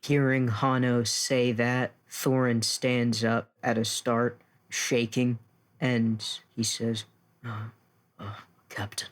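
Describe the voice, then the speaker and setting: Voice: medium pitch, little pitch movement, slow pace. A player in a tabletop roleplaying game, plainly narrating a character's actions.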